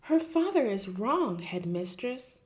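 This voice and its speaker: A woman voicing a gentle, earnest young teacher who pleads softly and politely. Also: mid-range voice, very animated, slow, voice pushed up.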